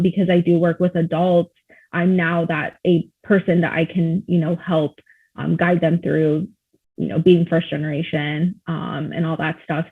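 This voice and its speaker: A man with a high voice speaks earnestly as a panelist, leaning on "um" as he thinks. His voice comes through thin and narrow, like a phone call. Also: creaky, slightly rough. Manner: earnest, many fillers. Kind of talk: panel discussion answer. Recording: tinny phone-like line.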